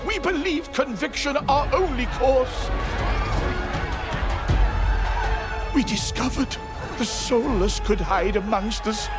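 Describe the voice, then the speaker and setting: A man's voice: very high-pitched, measured pace. A man playing an ominous, theatrical storyteller in a fantasy video game, foreboding in manner. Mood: grave, dramatic. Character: ominous, theatrical storyteller of a cursed village's tragedy. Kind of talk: dramatic video game narration. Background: music.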